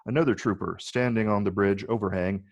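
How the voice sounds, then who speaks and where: A man reading stage directions as scripted narration in a measured, descriptive way. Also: fairly low pitch, steady tone, dull audio. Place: virtual read, recorded remotely.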